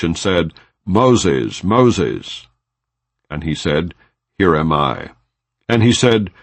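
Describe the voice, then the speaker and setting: A man with a low, deep voice reads scripture as an audiobook narrator. His delivery is solemn and measured, with wide rises and falls in pitch. The sound is dry, with no room echo. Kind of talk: non-dramatized scripture reading, scripted narration.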